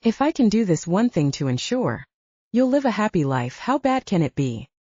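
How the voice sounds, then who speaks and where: Narrator as a desperate, husky-voiced pleading heroine. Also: female voice, medium pitch, big pitch swings, moderate pace, mellow, no echo, dull audio. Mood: resolved pleading. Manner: pleading, emotional. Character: desperate, devoted young woman pleading for loved ones. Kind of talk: audiobook character dialogue.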